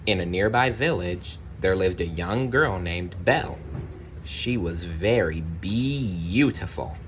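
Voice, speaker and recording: A man reading a children's story aloud, with expressive, deliberate narration and the odd personal aside. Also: low-pitched, lo-fi sound, a little hiss or hum. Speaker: storybook reader.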